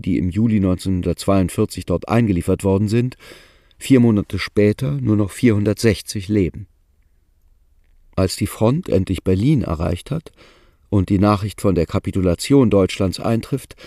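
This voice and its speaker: A man with a low, gravelly voice narrates a radio play in a measured and sober way, letting a dry irony show through. His tone is dark and mellow, and the studio sound is close and dead, with no room echo. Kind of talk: scripted radio drama narration.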